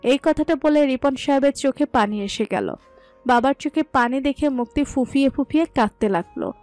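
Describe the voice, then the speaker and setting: High-voiced woman narrating a story. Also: moderate pace, a little mellow, faint music behind.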